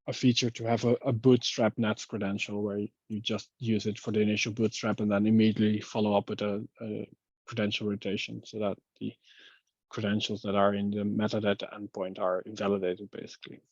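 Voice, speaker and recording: A man talking casually and haltingly through technical matters in an online meeting. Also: on the low side, level tone, darkish tone, dry recording. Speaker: working group member giving a technical update. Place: online conference call. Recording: slightly dull, lo-fi call audio.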